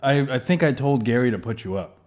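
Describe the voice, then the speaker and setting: A man's voice: medium pitch, animated, fast, darkish tone. A man appearing as a comedian guest on a podcast, telling stories in a laid-back, rambling way full of fillers. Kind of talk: casual comedy podcast chat.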